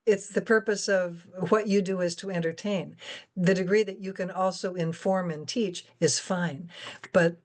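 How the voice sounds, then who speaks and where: An older American woman moderating a discussion in a measured, articulate way with fairly formal wording. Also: lowish voice, steady tone, a little mellow. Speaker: guest moderator.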